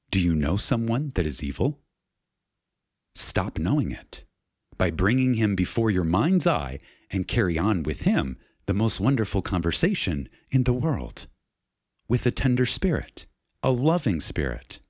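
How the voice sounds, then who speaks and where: A man reading a spiritual lecture aloud in a reverent, dramatic way, solemn and emphatic, with deliberate pauses. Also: lowish voice, big pitch swings, darkish tone, husky, no room sound, studio quality.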